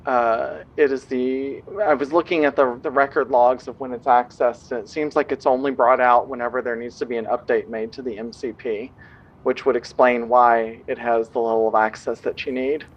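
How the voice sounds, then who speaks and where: Tabletop game character, played by a man: helpful male computer user, medium pitch, measured, factual explainer. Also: flat delivery, dull audio, close to an everyday voice. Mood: matter-of-fact, tentative.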